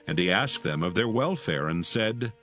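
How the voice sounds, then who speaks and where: A man's voice: deep voice, animated, normal speed, fairly bright tone, soft background music. A man narrating solemn scripture as part of a dramatised audio Bible.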